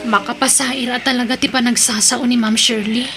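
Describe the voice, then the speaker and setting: A woman's voice: on the high side, steady tone, a little fast, over loud music, lightly acted. A woman playing a polite, earnest young junior employee in an audio drama, speaking with an Ilocano Filipino accent. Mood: hurt, resigned.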